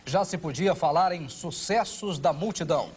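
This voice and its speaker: A man narrates a TV documentary from a script. His voice is high for a man and husky, with a wry, literary turn to the delivery. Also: moderate pace, a little mellow.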